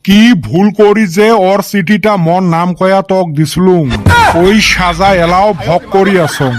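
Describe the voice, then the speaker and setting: A man's voice: sits high, fairly even intonation, unhurried. A man voicing a grumbling village husband in a comedy cartoon, exasperated, with a western Assam accent. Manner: exasperated, complaining.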